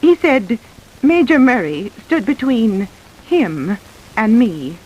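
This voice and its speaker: An actress playing a nervous, deferential young wife being questioned in an old-time radio drama. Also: female voice, a little high, big pitch swings, slow pace, a little mellow, slightly rough, exaggerated voice. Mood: anxious. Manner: hesitant, meek, anxious. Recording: muffled, hissy old radio recording.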